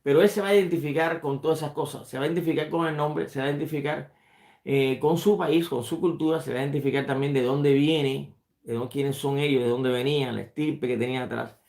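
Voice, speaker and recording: A man's voice: fairly high pitch, fairly even intonation, creaky, a little mellow, echoey room. A man who is a rabbi, giving an unscripted religious lesson and often stopping to repeat a word as he searches for the next one. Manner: halting, repeats words while searching for them. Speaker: rabbi teaching a study class.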